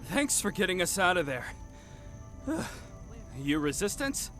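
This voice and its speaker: A weary freed captive in a war game. He sounds earnest, high in pitch and lively in tone, with music behind. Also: measured pace, full character voice. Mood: relieved, earnest. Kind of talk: strategy game dialogue.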